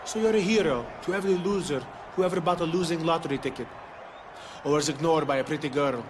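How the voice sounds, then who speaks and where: A man's voice: fairly high pitch, fast talker, close to an everyday voice. A man playing a boastful, preening villain wrestler in a video game cutscene, taunting and bragging. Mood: scornful taunting. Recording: clearly noisy background ambience.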